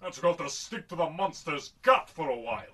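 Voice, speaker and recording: A man playing a stately winged alien sidekick with a formal, deliberate manner in a radio sci-fi serial. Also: fairly high pitch, big pitch swings, pushed and bright, husky, far from the mic, exaggerated voice. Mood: solemn, dry. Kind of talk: old-time radio sci-fi serial acting.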